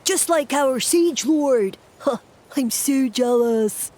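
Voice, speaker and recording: A woman voicing a slow-witted little fungus sidekick who gushes with admiration. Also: high-pitched, warm tone. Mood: eager. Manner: eager, gushing, slow-witted. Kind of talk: video game voice acting.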